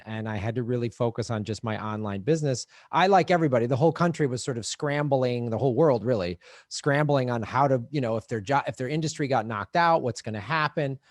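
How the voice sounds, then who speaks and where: A man's voice: mid-range voice, no echo, clean studio sound. A middle-aged man from the American Midwest, a comedian telling stories in a casual chat and laughing often. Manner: animated storyteller, laughs often, acts out voices. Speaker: podcast co-host, touring comedian.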